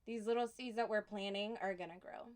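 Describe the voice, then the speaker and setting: A woman from Houston giving a casual tarot reading, soft and unhurried, pausing often, a little sniffly from allergies. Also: mid-range voice, fairly even intonation. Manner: soft, unhurried, reflective delivery with pauses. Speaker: tarot reader.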